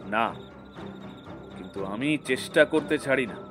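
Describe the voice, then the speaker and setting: A man's voice: medium pitch, expressive, measured pace, a bit bright, soft background music. Man acting a brooding, terse police detective. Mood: grave, resolute. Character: brooding, determined male police detective, elder brother.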